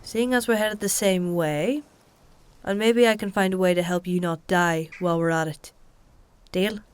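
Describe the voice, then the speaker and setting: An actress playing a practical, stubborn travelling healer in a fantasy audio drama, with a dry, matter-of-fact, conversational way of talking. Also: female voice, fairly low pitch, fairly even intonation, moderate pace, clear, resonant tone, natural voice. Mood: wry, reassuring. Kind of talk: dark fantasy audio drama dialogue and narration.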